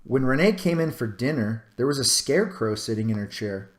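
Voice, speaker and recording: Male storybook narrator, highly expressive pitch, dramatic pauses, drawn-out phrases, read-aloud for children. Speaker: storybook reader.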